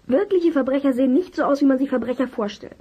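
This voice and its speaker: An actor voicing a keen, inquisitive child detective in a German children's radio play, brisk and full of questions. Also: high voice, muffled recording, a little hiss or hum, natural voice. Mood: restless.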